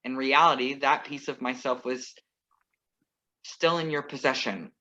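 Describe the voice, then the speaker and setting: A man's voice: medium pitch, fairly even intonation, pushed and bright. A man playing a wry, defiant ex-lover. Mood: earnest. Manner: measured, wry, earnest. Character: composed ex-lover crashing a wedding, wry and defiant. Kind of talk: staged play reading over video call. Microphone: video call. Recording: muffled video call audio.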